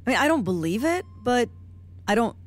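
A woman's voice: fairly high pitch, big pitch swings, fairly quick, soft background music. A woman playing a wry, level-headed, sceptical agent in a comedy-adventure podcast, pointed but natural in delivery. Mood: thoughtful.

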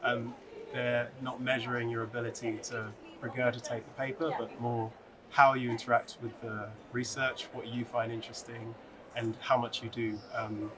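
Steady young man, crowd chatter behind. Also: mid-range voice, normal speed, poor audio. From United Kingdom. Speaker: recent PhD graduate. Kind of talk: answering interview questions to camera. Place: large open atrium, indoors.

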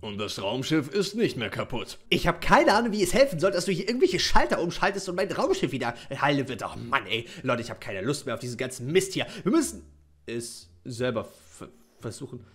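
Young man, gaming host, excitable asides, animated pitch, highish voice. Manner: excitable, quick asides to viewers. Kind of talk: roleplay narration to viewers.

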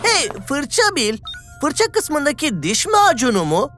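A woman's voice: sits high, huge pitch swings, slow, a bit bright, faint music behind, full character voice. A woman playing a cheerful, curious young male explorer in a children's cartoon, enthusiastic and full of questions. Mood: curious, eager. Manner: enthusiastic, exclamatory, inquisitive.

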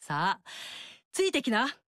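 A brash, motherly warrior woman descended from a fire goddess, bossing and teasing with big-sister swagger. Her pitch sits fairly high and swings widely. The game studio recording is dry and close. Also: very animated, slow, a bit bright, no room sound, exaggerated voice.

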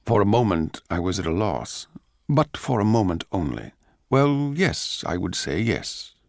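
A man playing a bitter, envious male court composer, narrating in a measured, theatrical, sardonic way for radio drama. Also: low-pitched, sing-song, gravelly, heavily acted. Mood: wry.

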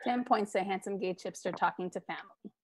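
A woman in her thirties reads screenplay directions aloud. She is mid-pitched and speaks into an earbud mic on a home video call. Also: fast talker. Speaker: stage directions reader at a live table read. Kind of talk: scripted narration read aloud. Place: living room at home. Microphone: earbud headset on a video call.

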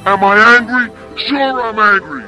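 Heated, high-voiced veteran in an archival interview. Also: male voice, measured pace, bright, forceful tone, soft background music. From United States. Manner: heated, indignant, rhetorical questions. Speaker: Vietnam veteran. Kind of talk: angry street interview.

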